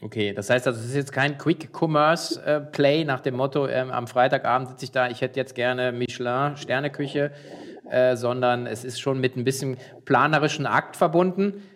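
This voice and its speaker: A man hosting a business podcast, talking in a relaxed way with many fillers and restarts. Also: medium pitch, moderate pace. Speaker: podcast host. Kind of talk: casual interview.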